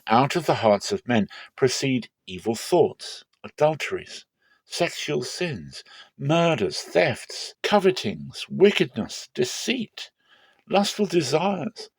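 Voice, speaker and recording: A man voicing a stern male teacher in a dramatic scripture reading, questioning and deliberate with emphatic delivery. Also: medium pitch, vocal fry, breathy edge, no room sound, voice pushed up, exaggerated voice. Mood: emphatic, grave.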